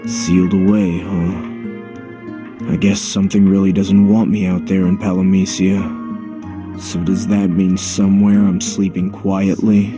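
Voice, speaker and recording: A very deep, world-weary warrior hero. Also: male voice, little pitch movement, measured pace. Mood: wary, brooding. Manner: dry, casual, sardonic. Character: world-weary sardonic male warrior hero, skeptical, brooding. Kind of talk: understated video game cutscene acting. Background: game music.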